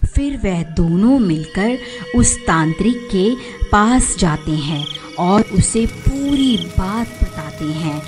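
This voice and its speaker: A woman's voice: medium pitch, expressive, slow. A woman reading scripted horror story narration as the storyteller. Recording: music behind the voice.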